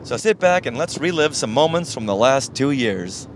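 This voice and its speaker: A man's voice: mid-range voice, expressive, moderate pace, very noisy. A man with an American accent co-hosting a podcast in casual, chatty style. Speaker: podcast co-host. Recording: music behind the voice. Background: music.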